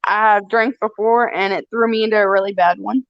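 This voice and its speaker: A woman's voice: medium pitch, steady tone, normal speed, no echo. Playful woman in her twenties on a dull call line. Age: 20s. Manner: playful, chatty. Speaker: stream guest. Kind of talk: casual chat. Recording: dull, dry call audio.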